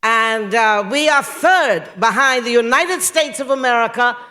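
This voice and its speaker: A man delivers an acceptance speech in a high, bright voice. He speaks slowly and emphatically, leaning into each phrase. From Bahamas. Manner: slow, emphatic, rousing delivery. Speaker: award recipient, media entrepreneur.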